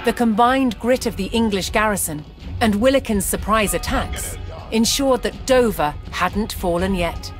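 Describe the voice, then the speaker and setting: A woman reading scripted historical narration for a strategy game, telling the story in a measured, deliberate way. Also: mid-range voice. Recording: studio voice-over with music underneath. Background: music.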